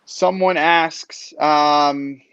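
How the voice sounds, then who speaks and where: A man's voice: a little high, steady tone, drawn-out words, fairly bright tone, clear, resonant tone, no echo. A man hosting a casual live sports interview as a podcast host, on slightly dull lo-fi audio.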